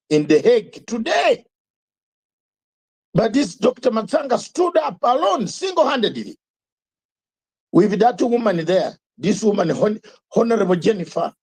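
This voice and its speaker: An older man with a high, husky voice drives his live talk show with fiery commentary. He repeats himself for emphasis and throws out rhetorical questions one after another. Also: a little mellow.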